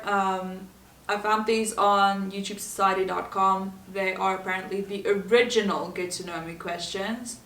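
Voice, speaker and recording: A woman's voice: mid-range voice, fairly bright tone. A chatty, giggly woman from South Africa with an accent, speaking Afrikaans as her first language, answering questions about herself. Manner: chatty and giggly, laughing often. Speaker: vlogger. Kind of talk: casual get-to-know-me chat. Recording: roomy echo, voice from a distance.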